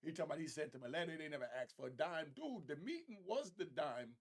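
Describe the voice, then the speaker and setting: Animated man with a creaky voice. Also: on the high side, fast talker. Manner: animated, emphatic, repeats phrases for effect. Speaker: commentary show host. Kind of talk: informal reaction commentary on celebrity news.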